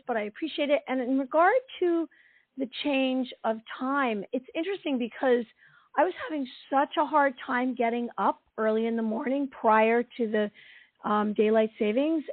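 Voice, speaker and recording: An East Coast American woman co-hosts a spiritual talk show in a fairly high voice that is still recovering. She speaks earnestly and halts now and then to find her words. The sound is dry and tinny, like a phone call. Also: no room sound. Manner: earnest, halting with fillers. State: recovering from lost voice. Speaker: co-host, intuitive wisdom coach. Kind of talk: casual spiritual radio talk.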